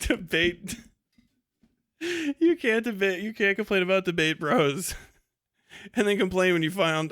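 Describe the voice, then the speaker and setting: Male livestream host, high smooth voice, casual reactive commentary, profane and incredulous. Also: fairly bright tone. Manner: heavily profane, exasperated, incredulous.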